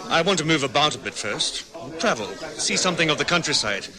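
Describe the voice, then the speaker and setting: A guarded young traveller. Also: male voice, a little high, sing-song, fairly quick, crowd noise. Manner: polite, reserved, evasive. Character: guarded, well-off young man from Australia. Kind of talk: 1950s radio drama acting.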